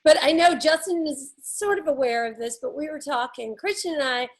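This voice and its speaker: A middle-aged woman from Nashville with a Southern accent, co-hosting a podcast in casual, laughing chat with frequent ums. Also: high-pitched. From Nashville, Tennessee. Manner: chatty, laughing often, drawn-out pauses with ums. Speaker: podcast co-host.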